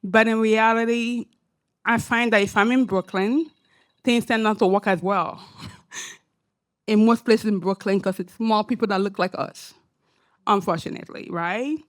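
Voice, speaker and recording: A woman with an African accent speaking as an academic panelist, emphatic and animated, often asking "right?". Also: mid-range voice, a little slow, long pauses. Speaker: panelist, academic and social entrepreneur. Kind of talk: panel discussion remarks. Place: symposium panel room.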